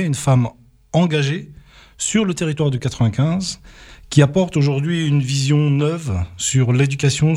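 Hesitant French radio host, mellow voice. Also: male voice, fairly high pitch. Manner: hesitant, many fillers and restarts. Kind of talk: radio interview.

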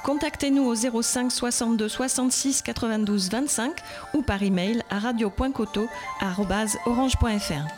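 A woman reading a crisp radio station announcement. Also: on the high side, moderate pace. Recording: clear music bed under the voice.

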